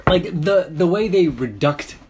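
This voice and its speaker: A man's voice: a little high, a little slow, crowd noise. Chatty American man hosting a podcast. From Georgia, USA. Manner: chatty, filler-heavy, animated explainer. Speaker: podcast host. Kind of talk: casual chat.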